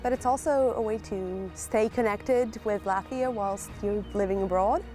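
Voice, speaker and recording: A woman's voice: medium pitch, a little slow. A woman speaks in a middle-range voice, explaining patiently how something is made by hand. Her delivery is plain and friendly. Faint music plays under her. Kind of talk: interview.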